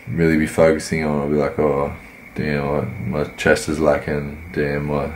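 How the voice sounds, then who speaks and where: A man, croaky from a cold, giving laid-back, rambling voice-over commentary on his workout. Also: very deep voice, completely flat delivery, normal speed, a little hiss or hum. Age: 20s. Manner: laid-back, rambling, many fillers and restarts. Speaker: fitness vlogger.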